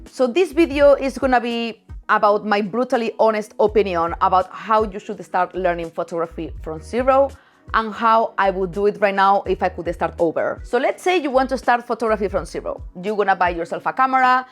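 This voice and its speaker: A woman's voice: mid-range voice, fairly quick. Frank Spanish-accented woman in her thirties, chatty vlogger who laughs easily, faint music behind. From Spain. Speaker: photography youtuber. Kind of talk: casual direct-to-camera talk.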